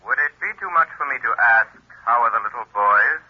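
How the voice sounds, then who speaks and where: An actor playing a mysterious masked avenger who speaks in a calm, cultured, mocking way, in 1940s radio acting on a lo-fi old broadcast. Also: male voice, mid-range voice, fairly quick, no echo, close to an everyday voice.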